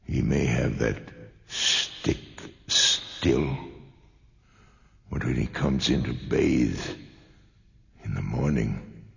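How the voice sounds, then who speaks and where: Male cobra, patient killer, deep and slow, menacing; a man voices him. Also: gravelly, a bit bright, in a large hall, muffled recording, heavily acted. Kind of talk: 1940s radio acting.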